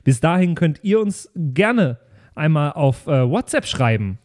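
A man's voice: a little high, big pitch swings, unhurried, darkish tone. A man, a podcast host talking casually and informally to listeners in a self-mocking way. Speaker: podcast host and player of an improvised tabletop role-playing show. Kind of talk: casual chatty podcast outro.

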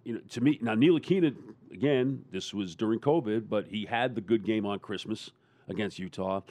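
Low-voiced man talking sports, dry sound. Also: brisk, mellow, dry recording. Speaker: sports panelist. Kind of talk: casual sports roundtable talk.

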